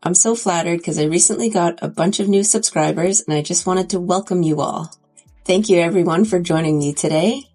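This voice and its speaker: Female beauty vlogger, stuffy nose, low husky tone, chatty makeup review. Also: a little fast, a little mellow, faint music behind. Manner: chatty, enthusiastic about swatches. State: congested.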